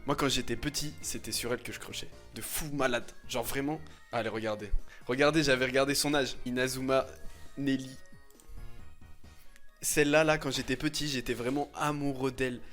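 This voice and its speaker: A man, chatting casually on a livestream in a slangy, teasing, expressive way. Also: mid-range voice, normal speed, soft background music. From France. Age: 20s. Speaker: livestreamer reacting to images and chat. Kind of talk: casual chat, livestream commentary.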